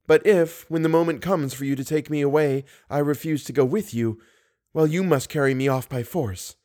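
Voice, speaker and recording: A man reading an audiobook, voicing a frightened young woman opera singer in a raised, hushed, pleading voice. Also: a little high, moderate pace, pitched above the performer's own voice, natural voice. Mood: tender. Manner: fearful, pleading, breathy hush.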